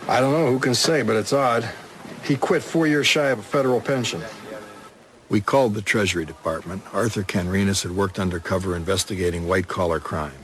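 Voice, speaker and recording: Actor as low-voiced, no-nonsense police detective. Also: male voice, fairly quick, fairly bright tone, a little hiss or hum, natural voice. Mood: matter-of-fact. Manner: clipped, matter-of-fact questioning. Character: no-nonsense male police detective, dry and dogged. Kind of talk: audio drama acting.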